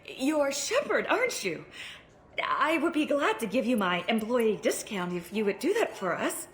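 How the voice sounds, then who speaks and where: An actress playing a polite, eager sales clerk in a science fiction video game. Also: female voice, a little high, big pitch swings, normal speed, slight background noise. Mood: excited, eager. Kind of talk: video game character acting.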